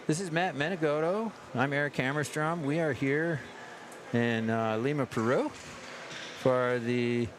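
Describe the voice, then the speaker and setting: An American man hosting a livestream from a sports event in a casual, halting way, pausing often mid-sentence. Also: fairly high pitch. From United States. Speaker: livestream host at an ice hockey tournament. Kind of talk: casual live chat. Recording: clear urban ambient noise, live on location.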